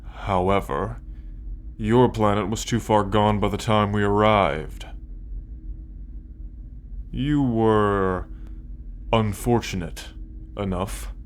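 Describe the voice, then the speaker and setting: A man playing an arrogant, possessive alien soldier in an ASMR roleplay, condescending and menacing, speaking with deliberate pauses. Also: lowish voice. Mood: haughty, lecturing. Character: arrogant possessive male alien soldier, cold, darkly amused captor.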